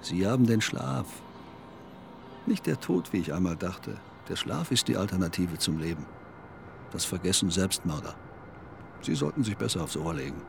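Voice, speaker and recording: Low, gravelly, morbid, clinging man. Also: sing-song, moderate pace, long pauses, a little hiss or hum, full character voice. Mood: morbid musing. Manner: brooding, insistent, often hushed. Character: morbid, clinging, sleepless man obsessed with death. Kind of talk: intimate radio play monologue. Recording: studio radio drama, faint music.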